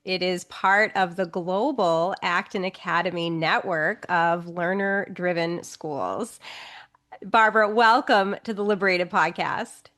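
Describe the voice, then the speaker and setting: A woman's voice: fairly low pitch, a little slow, fairly bright tone. A woman hosting an education podcast, introducing her guest and asking questions with enthusiasm. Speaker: podcast host.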